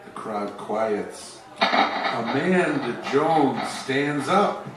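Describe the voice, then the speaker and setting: A man's voice: mid-range voice, lively intonation, drawn-out words. A man hosting a live show with theatrical, old-time radio announcing and scripted narration. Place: live stage show, picked up from afar. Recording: echoey stage, distant mic, music behind.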